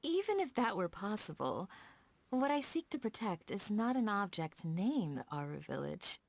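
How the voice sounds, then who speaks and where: A woman voicing a gentle, dutiful young desert village guardian in a video game, speaking in a soft, measured, courteous way. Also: mid-range voice, sing-song, gravelly, no room sound, exaggerated voice. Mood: warm, reflective. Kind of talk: scripted game dialogue, storytelling.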